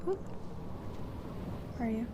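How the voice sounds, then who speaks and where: A woman's voice: takes it slowly, noisy background. A woman streaming a video game, chatting casually to viewers and muttering questions to herself as she thinks aloud. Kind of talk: casual live gameplay commentary, chatting to viewers. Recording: game sound effects behind voice. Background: bleating sheep and game ambience.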